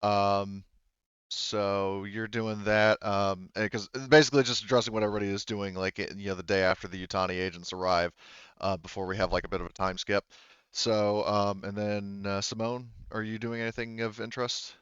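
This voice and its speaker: A man talking casually as game master, halting and often backtracking as he addresses players. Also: fairly low pitch, flat delivery, a bit bright, smooth, no room sound, lo-fi sound. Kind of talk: casual game-running talk.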